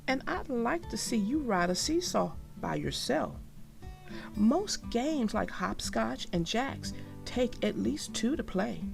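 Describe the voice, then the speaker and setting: A woman voicing a calm, wise mother bear who speaks quietly in a children's storybook. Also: on the high side, vocal fry, over music, pitched above the performer's own voice, exaggerated voice. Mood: calm reasoning. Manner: quiet, measured, gently reasoning. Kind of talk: children's storybook read-aloud.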